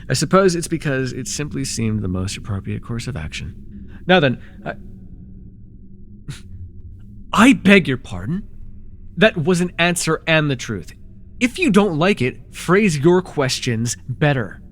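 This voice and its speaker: A man playing a sly, haughty, taunting dark-magic villain ruler in a fantasy audio roleplay, with a mocking, drawling delivery. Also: mid-range voice, slight background noise. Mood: sardonic, musing, then indignant.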